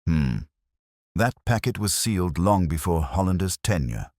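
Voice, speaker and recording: A male narrator plays a courteous but guarded official in a storybook. His voice is very deep and dry, and he answers formally and carefully, with a varied rise and fall. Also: sing-song, unhurried, darkish tone, dry recording. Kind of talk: audiobook character reading.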